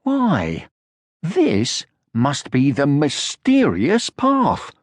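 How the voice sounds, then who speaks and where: Man doing a young troll from a kids story, earnest n plucky, highish voice, gets indignant. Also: wildly swooping pitch, extremely slow, no room sound, clean studio sound, heavily acted. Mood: thoughtful, wondering. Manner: earnest, exclamatory. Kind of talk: children's storybook character voice.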